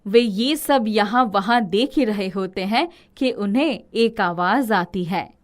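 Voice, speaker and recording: A woman's voice: medium pitch, slow. A woman narrating a scripted moral story as its storyteller.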